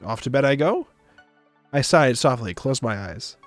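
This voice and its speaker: A man's voice: medium pitch, lively intonation, moderate pace, dry recording. A man narrating a visual novel aloud for a stream, with casual asides. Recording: music behind the voice. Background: music.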